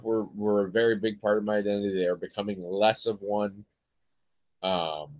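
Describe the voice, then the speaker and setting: Rambling American man, low voice. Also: a bit bright, no echo, lo-fi sound. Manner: rambling, hesitant, many ums and restarts. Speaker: podcast host. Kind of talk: casual chat.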